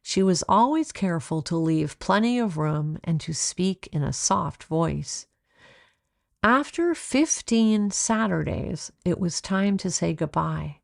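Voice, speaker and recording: A woman narrating a children's storybook in a measured, expressive way, like an author reading aloud. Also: on the low side, vocal fry, dry recording, studio quality.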